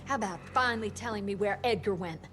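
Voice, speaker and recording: An actress voicing a stern, skeptical doctor. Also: female voice, medium pitch, fast, music in the background, close to an everyday voice. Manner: sharp, stern and argumentative. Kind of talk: video game cutscene acting.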